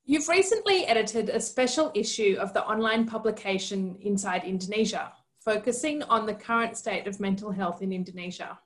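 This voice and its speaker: A woman hosting a podcast, reading a formal introduction and asking interview questions in a clear, measured way. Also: mid-range voice, animated. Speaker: podcast host.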